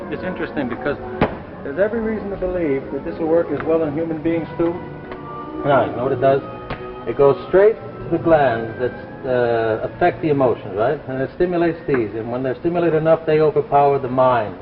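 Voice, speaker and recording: An American man playing an excited, driven research scientist and husband in a 1950s television drama, explaining haltingly and eagerly. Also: mid-range voice, over music, close to an everyday voice. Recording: tinny, noisy 1950s broadcast recording.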